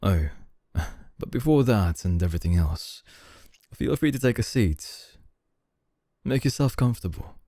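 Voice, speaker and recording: A male actor as a suave young boss in an ASMR roleplay, with a very dark, mellow, low voice. He speaks slowly and softly, calm and formal, with quiet dominance, recorded close and dry. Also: no echo. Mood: smooth, welcoming. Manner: slow, deliberate, smooth formal courtesy, soft chuckles.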